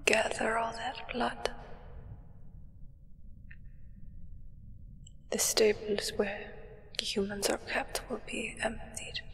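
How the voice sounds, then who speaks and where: A young woman playing a solemn, devoted vampire acolyte in a hushed, reverent ASMR roleplay whisper. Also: on the high side, vocal fry, long reverb tail, exaggerated voice. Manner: hushed, slow, reverent, deliberate. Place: indoors, small draped set.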